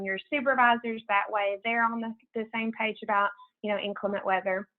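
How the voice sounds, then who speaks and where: An American woman giving informal announcements as a program coordinator on a conference call, in a casual, halting way over a tinny phone line. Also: mid-range voice. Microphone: telephone conference call.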